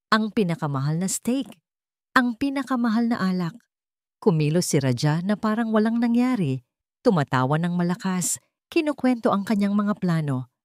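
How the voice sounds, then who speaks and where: A woman narrates a dramatic story at a steady, measured pace. Her voice sits low for a woman. The recording is close and dry, with no room echo. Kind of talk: scripted narration.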